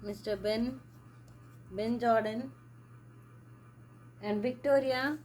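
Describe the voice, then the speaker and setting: Slow, repetitive woman teaching a lesson. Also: fairly high pitch, fairly even intonation, darkish tone, slight background noise. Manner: slow, repeats words and phrases while writing. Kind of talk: classroom lesson explaining a play.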